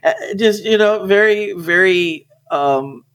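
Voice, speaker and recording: A man's voice: high-pitched, takes it slowly, fairly bright tone. A man chatting informally and enthusiastically on a podcast. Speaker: podcast speaker with theater background. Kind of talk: casual podcast chat.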